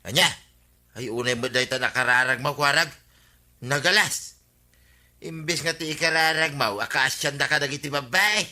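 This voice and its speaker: A man's voice: mid-range voice, moderate pace, bright, forceful tone. A man with an Ilocano Filipino accent playing a scheming, defensive villager in a radio comedy drama, evasive and exclamatory.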